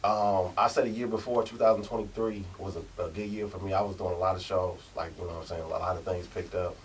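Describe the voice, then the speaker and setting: A man's voice: sits low, steady tone, quick pace. A man, a rapper guest on a podcast, talking loosely and chattily about his plans. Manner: loose and chatty, with frequent "you know what I'm saying". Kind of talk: casual podcast chat. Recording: distant mic with ambient noise.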